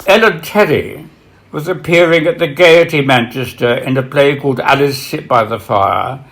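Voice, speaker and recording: A man reading literary biography aloud as its author, in a solemn, measured way with dramatic pauses. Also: medium pitch, a bit bright. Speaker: author reading from own book.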